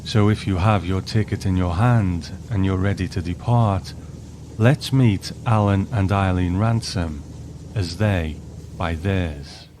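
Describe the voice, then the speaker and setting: A man's voice: low-pitched, takes it slowly, background noise. A man hosting a show with scripted narration, introducing and discussing a story. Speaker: host.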